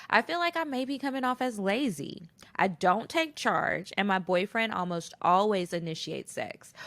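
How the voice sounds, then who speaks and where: A woman's voice: medium pitch, a bit bright, dry recording. A woman co-hosting a podcast in casual chat, candid and slangy. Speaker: podcast co-host.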